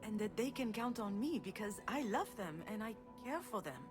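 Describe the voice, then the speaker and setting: A woman's voice: mid-range voice, very animated, moderate pace, over music, lightly acted. A woman playing a protective, reflective older sister in a naturalistic video game drama, earnest and wry. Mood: earnest, reassuring. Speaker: game protagonist. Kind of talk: naturalistic drama voice acting, dialogue and inner monologue.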